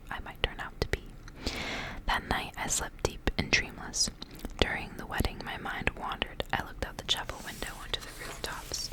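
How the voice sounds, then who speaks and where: Whispering woman reading ASMR stories. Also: low-quality recording. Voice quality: breathy unvoiced whisper. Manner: soft, hushed, intimate delivery. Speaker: ASMR reader and channel host. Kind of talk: whispered ASMR storybook reading with casual asides.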